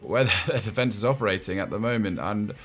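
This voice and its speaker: A man's voice: fairly low pitch, fairly quick, fairly bright tone, faint music behind. A man hosting a casual football analysis chat, often restarting his words and chuckling between points. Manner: stammering restarts and chuckling asides. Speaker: football show host.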